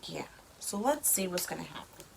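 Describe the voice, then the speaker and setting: A woman reading a storybook to a young child as a mother, with expressive delivery and simple explanations. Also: lowish voice, moderate pace, gravelly, slight background noise.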